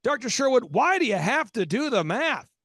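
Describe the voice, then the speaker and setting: A man hosting a business podcast, talking with preachy energy, repeating lines and jumping into sudden shouts. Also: extremely high pitch, sing-song, normal speed, dry recording, studio quality. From Oklahoma. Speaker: podcast host.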